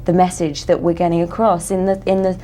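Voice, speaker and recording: An English actress answering interview questions earnestly, with many "you know" fillers. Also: female voice, fairly low pitch, little pitch movement, a little fast, dark tone, a little hiss or hum. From England.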